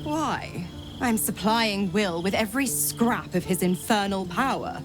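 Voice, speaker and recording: A woman's voice: mid-range voice, animated, unhurried, natural voice. An actress voicing a smug, seductive female half-devil in a video game, teasing and haughty, with theatrical sadistic relish. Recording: music playing behind the voice.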